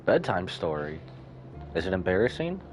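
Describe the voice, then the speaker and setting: A male game streamer with a deep voice and very lively intonation. He muses aloud in short, casual reactions, with faint music behind him. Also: normal speed. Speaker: live streamer.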